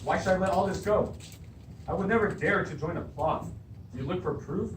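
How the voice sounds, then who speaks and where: A young man acting a loyal, reasonable nobleman defending his honor in stage readers' theatre, earnest and measured. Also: high-pitched, lightly acted. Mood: earnest, defensive. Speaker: drama student. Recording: roomy, distant mic, clear noise.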